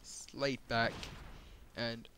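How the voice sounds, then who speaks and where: A man's voice: little pitch movement, slow pace, background noise. A man chatting casually about gaming commentary, rambling and correcting himself as he goes. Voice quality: says he has a high voice.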